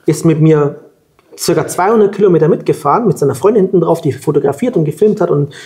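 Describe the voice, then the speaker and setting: Man, forties, from Germany, slightly high pitch, colloquial anecdotes, interview guest, lapel mic. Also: quick pace. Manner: lively anecdotal storytelling, colloquial. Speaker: guest, long-distance scooter traveller. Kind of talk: storytelling in a sit-down interview. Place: room with plants at a table.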